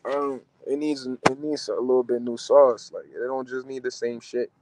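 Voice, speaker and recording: A man's voice: medium pitch, level tone, moderate pace, background noise. A young man in his twenties from New Jersey, a podcast guest chatting casually over a video call from his car, with slangy talk and lots of fillers. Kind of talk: casual chat. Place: car interior. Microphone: phone camera at arm's length on video call.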